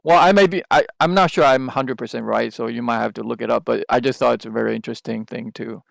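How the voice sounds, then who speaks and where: Gravelly man. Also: mid-range voice, fast, no echo. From Taiwan. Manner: rambling, with many restarts and hesitant fillers. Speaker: podcast co-host. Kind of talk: casual chat.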